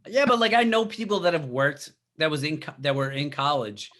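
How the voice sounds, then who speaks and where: Bright-voiced man of forty, chatting. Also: fairly high pitch, animated, fairly quick. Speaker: podcast co-host. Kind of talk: casual chat.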